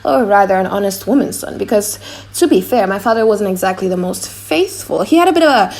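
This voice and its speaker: A woman voicing a chatty male clown servant in a comic audiobook monologue, animated, rambling and correcting himself. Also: mid-range voice, fairly quick, lightly acted. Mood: comic, scheming.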